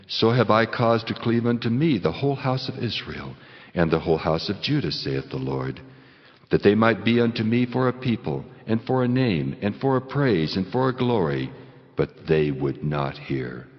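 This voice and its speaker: An actor playing a stern, majestic God, speaking in solemn, commanding declamation in a dramatized Bible reading. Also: male voice, low-pitched, animated, normal speed. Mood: stern judgement. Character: God of Israel, stern, majestic, wrathful male deity. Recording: long hall reverb, dull top end.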